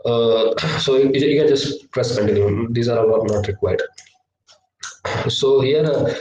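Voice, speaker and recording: A young man teaching a live online coding lesson, hesitant with many filler sounds, his voice slightly low from a cough. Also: unhurried, darkish tone, slight background noise. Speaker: workshop instructor. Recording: echoey, distant laptop mic.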